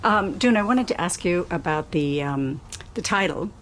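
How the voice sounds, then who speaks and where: A woman's voice: on the low side, lively intonation, normal speed, a bit bright. A woman asking a conversational question at a live book event, rephrasing it as she goes along. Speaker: participant asking the author a question. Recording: faint room noise.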